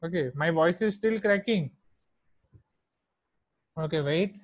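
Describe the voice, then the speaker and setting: A man with an Indian accent teaching a maths class online, dictating each term slowly as he writes on a whiteboard. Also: on the high side, no echo, highs cut off. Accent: Indian English. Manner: slow, deliberate dictation of terms while writing on a whiteboard.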